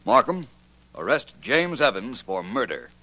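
An actor playing a shrewd, confident detective in 1940s radio drama style, laying out his deductions crisply and methodically. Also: medium pitch, sing-song, measured pace, pushed and bright, full character voice, poor audio. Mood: confident, commanding. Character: shrewd, self-assured male amateur detective explaining his deductions.

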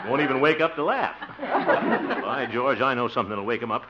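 A self-satisfied, jovial blusterer from a 1950s radio comedy. His voice sits a little high and swings widely, theatrical and exclamatory. The old recording is somewhat muffled. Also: breathy edge, heavily acted. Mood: exasperated, playful.

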